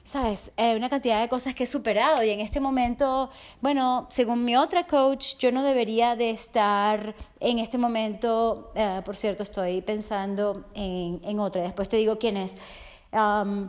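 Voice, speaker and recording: A slightly hoarse woman speaking as a coach in an earnest, heartfelt motivational podcast monologue, often checking in with the listener. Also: medium pitch, steady tone, moderate pace. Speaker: resilience and fitness coach.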